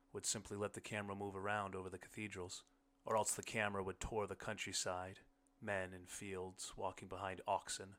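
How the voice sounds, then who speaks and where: A man's voice: deep voice, sharp tone. A man reading a short story aloud as scripted first-person audiobook narration.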